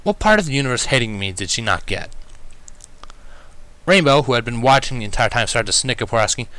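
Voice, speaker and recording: A man reading fan fiction aloud as an expressive first-person story narrator. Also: medium pitch, fast talker, no room sound.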